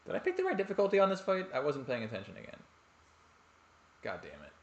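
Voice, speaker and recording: A man's voice: fairly high pitch, wildly swooping pitch, fast, echoey room, dull audio. A young man casually commenting on his live game stream at home, rambling, joking at his own expense and swearing freely. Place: home room at night.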